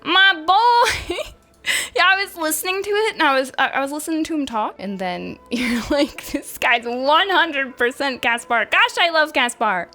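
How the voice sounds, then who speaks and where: A woman doing casual live gameplay commentary as a streamer, playful and excitable in her reactions. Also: high-pitched. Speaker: game streamer.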